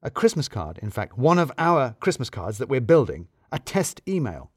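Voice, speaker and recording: Guy playing fretful office detective type, emphatic, pitch all over, dry close sound. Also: sing-song, normal speed, husky, dry recording. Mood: fretful, explaining. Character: obsessive, fretful male office-worker amateur detective.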